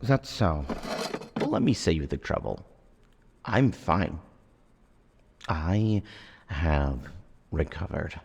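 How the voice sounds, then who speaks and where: Brooding war vet villain, super deep raspy voice, slow n halting, menacing audio drama thing. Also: male voice, very animated, a little mellow, a little hiss or hum, full character voice. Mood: coldly controlled. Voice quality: gravelly, raspy. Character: menacing, traumatized male war veteran turned killer suspect. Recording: long cavernous reverb.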